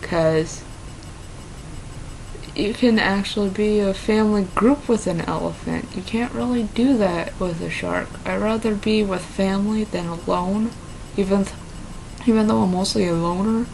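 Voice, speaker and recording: A woman with a mixed Minnesota and Southern accent who answers quiz questions in a casual vlog and talks haltingly with many fillers. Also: medium pitch, vocal fry, a little mellow, a little hiss or hum, low-quality recording. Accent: mixed Minnesota and Southern American. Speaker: vlogger.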